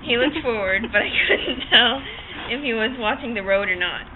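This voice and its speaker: A young woman with a fairly high voice reads a story aloud theatrically, prone to giggling. The sound is thin and phone-like, with clear outdoor noise around her. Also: moderate pace. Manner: theatrical, often breaking into giggles. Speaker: amateur reader. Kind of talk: dramatic read-aloud of a novel, giggly and informal. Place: open-sided park picnic shelter outdoors. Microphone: camcorder across a picnic table. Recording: tinny phone-like audio, noisy outdoor picnic shelter.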